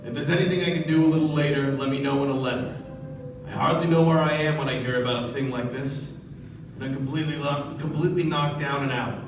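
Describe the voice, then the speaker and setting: Higher-voiced slippery gangster businessman played by a man. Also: steady tone, fast, close to an everyday voice. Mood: formal, evasive. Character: slippery, self-important older male underworld businessman. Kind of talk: live radio play acting. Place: live stage performance. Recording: echoey distant stage, background noise.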